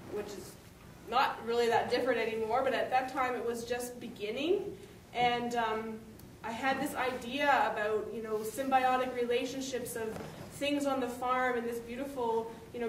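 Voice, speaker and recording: A woman farmer speaking as a panelist at a symposium, candid and lively, heard in an echoey hall some way from the microphone. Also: fairly high pitch. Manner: candid, lively, laughs often. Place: university lecture hall. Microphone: room microphone at a podium. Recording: echoey hall, far from mic, noisy.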